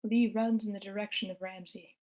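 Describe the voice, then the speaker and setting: A woman narrates stage directions at a screenplay table read. Her pitch is medium and stays quite flat and even. The delivery is that of someone reading a script aloud. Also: a little fast, low-quality recording. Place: online meeting, own room.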